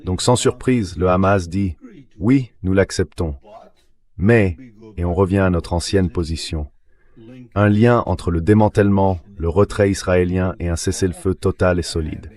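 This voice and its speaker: A man with a deep, very low synthetic voice speaks as an interview guest. He gives political analysis in a translated, dubbed delivery. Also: normal speed, darkish tone.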